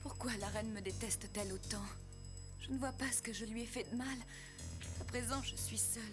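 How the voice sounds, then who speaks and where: A woman's voice: a little high, brisk, lightly acted. A woman voicing a sweet, innocent young princess in a French-dubbed fairy-tale cartoon, speaking in a polite, guileless way. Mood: forlorn. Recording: loud music under the voice.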